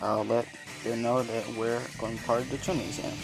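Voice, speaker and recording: A man reading the lines of an easygoing adult male ninja teacher in his own raspy voice. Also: mid-range voice, little pitch movement, fairly quick, over loud music, natural voice, poor audio. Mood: offhand.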